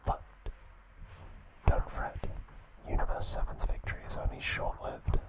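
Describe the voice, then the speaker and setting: A man hosting an ASMR video, recapping a story in a soft unvoiced whisper with small slips and self-corrections. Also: measured pace, rough recording. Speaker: ASMR host.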